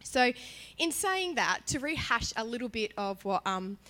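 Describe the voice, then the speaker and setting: A woman worship leader giving a sermon in a church, chatty and animated in her emphasis, her voice partly gone from illness. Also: fairly high pitch, measured pace. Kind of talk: sermon with prayer.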